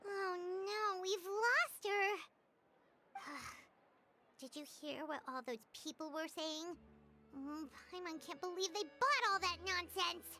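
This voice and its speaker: A woman voicing a small, childlike, excitable companion girl in a video game, with a squeaky voice and music behind her. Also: slow pace, exaggerated voice. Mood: worried. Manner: refers to herself in third person, exclamatory.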